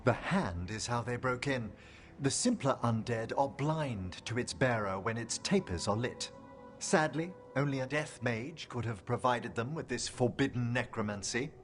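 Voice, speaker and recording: A man's voice: medium pitch, animated, moderate pace, natural voice. Man playing a refined necromancer professor, expressively intoned. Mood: earnest, grave. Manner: formal, eloquent, careful wording. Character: refined, earnest scholarly male necromancer professor. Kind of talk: video game dialogue acting. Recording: music behind the voice.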